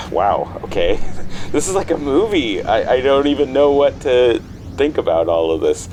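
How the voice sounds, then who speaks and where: A man playing an uneasy man who lives alone, hesitant and halting, in a naturalistic audio drama phone conversation. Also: mid-range voice, sing-song, background noise. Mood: overwhelmed, grateful. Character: uneasy man living alone in a creepy house. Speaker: caller.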